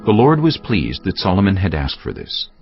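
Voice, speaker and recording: A very low-voiced man narrates scripture formally, with gravelly creak and lively movement in pitch. It is scripted storytelling for a dramatised audio Bible. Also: moderate pace. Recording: slightly dull, music underneath.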